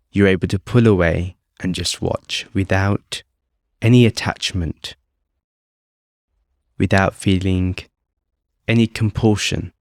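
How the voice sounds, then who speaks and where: A man calmly leading a guided meditation as host, speaking off the cuff in short phrases with long pauses. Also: deep voice, no room sound, studio quality. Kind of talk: guided meditation, unscripted instruction.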